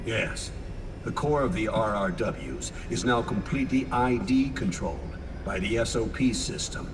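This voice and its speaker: A man playing a grave older commander in a video game, solemn, measured and authoritative. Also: mid-range voice, natural voice. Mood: grave, explanatory. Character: grave older male commander briefing his operatives. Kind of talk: dramatic video game acting. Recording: distant, reverberant, noisy vehicle ambience.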